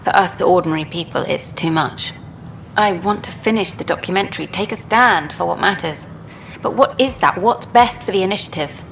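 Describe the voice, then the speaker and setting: An actress playing an earnest, bold documentary reporter in video game dialogue. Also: female voice, fairly low pitch, normal speed, creaky. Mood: defensive, earnest. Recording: faint background noise.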